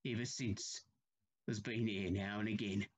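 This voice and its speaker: A man's voice: fairly low pitch, steady tone, measured pace, a little hiss or hum, close to an everyday voice. An actor playing a haunted, troubled railway signalman in a period audio drama, telling his tale with grave urgency. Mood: troubled, urgent.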